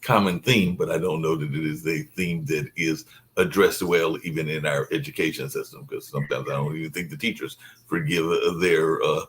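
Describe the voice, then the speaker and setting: A man's voice: a bass voice, fairly even intonation, moderate pace, fairly bright tone. A man hosting a radio conversation, asking thoughtful questions and giving short affirmations. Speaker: radio host.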